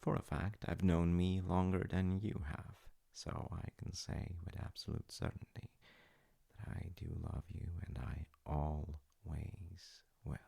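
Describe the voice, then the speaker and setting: A man playing a gentle, doting dad with dry humor in an intimate comfort audio roleplay, musing softly and haltingly in a husky murmur. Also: sits low, little pitch movement, exaggerated voice. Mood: tender, reassuring. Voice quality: gravelly, husky, often dropping to a breathy murmur. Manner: slow, soft-spoken, halting, musing. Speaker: voice actor.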